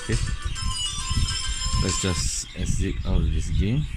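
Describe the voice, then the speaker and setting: A low-voiced man with a Singaporean English accent talks through a hands-on gadget demo, chatty and full of okays. Loud game music plays behind him the whole time. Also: expressive, slow pace. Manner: chatty, thinking aloud with many okays and fillers. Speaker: gadget reviewer. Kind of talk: casual hands-on product demo.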